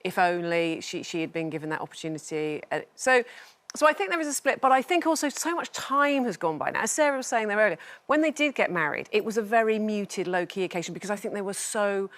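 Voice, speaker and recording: A British woman talks as a guest in a television discussion. Her pitch is middling, and she sets out her points in a considered, explanatory way. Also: animated, quick pace, a bit bright. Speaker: newspaper editor, studio guest. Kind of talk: measured TV discussion. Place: television studio.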